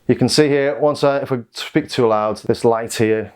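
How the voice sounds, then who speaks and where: Man, mid pitch, casual demo presenter, understated, informal, thinking aloud. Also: normal speed, darkish tone, smooth. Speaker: synth reviewer and demonstrator. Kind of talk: casual product demonstration.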